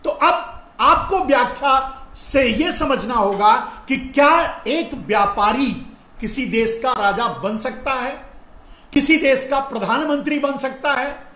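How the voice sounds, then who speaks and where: Man, political commentator, slow delivery, emphatic, repetitive rhetorical questions, echoey room. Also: very high voice, animated, sharp tone. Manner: emphatic, repeats phrases, asks rhetorical questions. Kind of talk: opinionated political commentary to viewers.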